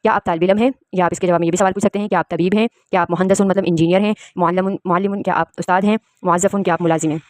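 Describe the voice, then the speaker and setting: A woman's voice: fairly low pitch, steady tone, racing, hardly any pauses, darkish tone, no echo. A woman from Pakistan, teaching an Arabic lesson and patiently explaining each word. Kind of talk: spoken language lesson.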